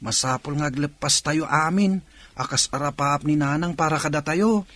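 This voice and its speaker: An actor playing an earnest, upbeat teenage younger brother. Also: male voice, fairly high pitch, moderate pace, a bit bright, no echo, lightly acted.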